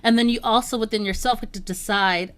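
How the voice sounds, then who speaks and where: A woman's voice: a little high, steady tone, a little fast, smooth. A woman interviewing a guest in a conversational style, putting questions to her.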